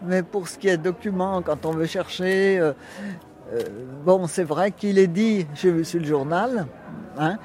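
Male passer-by, slightly high voice, hesitant and rambling, recorded from a distance outdoors. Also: measured pace, darkish tone. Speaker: interviewed resident. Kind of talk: street vox pop.

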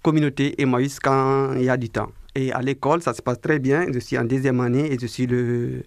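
A man in his twenties from Guinea, interviewed on the radio, answering in slow, careful sentences with some hesitations. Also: mid-range voice, fairly even intonation, no echo. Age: 20s. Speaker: interview guest, apprentice baker.

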